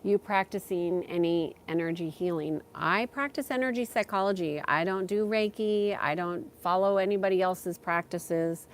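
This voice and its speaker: A woman with a slightly low voice talks casually as a livestream host. She speaks haltingly, thinking aloud as she goes, in the informal way of a spiritual guide fielding questions from viewers.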